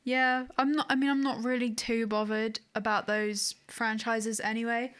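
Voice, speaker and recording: A woman's voice: on the high side, flat delivery, moderate pace, a bit bright. A woman co-hosting a video game podcast in an informal, conversational way. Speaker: podcast co-host. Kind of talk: casual podcast chat about video games.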